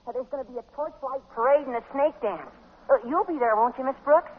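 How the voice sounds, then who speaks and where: An actor playing an eager, squeaky teenage schoolboy with chirpy, pompously formal delivery in a 1950s radio sitcom. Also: male voice, fairly quick, husky. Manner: chirpy, florid, overly formal. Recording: tinny, noisy 1950s radio recording.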